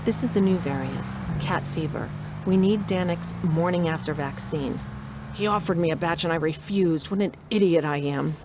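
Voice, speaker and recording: A woman's voice: fairly low pitch, moderate pace, background noise, close to an everyday voice. A middle-aged woman playing a determined, wry mother who narrates her own adventure in an audio drama. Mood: tense, wry. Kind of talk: first-person scripted narration.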